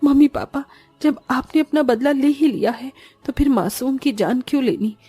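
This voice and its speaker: A woman's voice: high voice, moderate pace, dark tone, breathy edge, dry recording, soft background music. A woman voicing a sorrowful young bride in a cartoon story, earnest, plaintive and pleading. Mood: sad pleading.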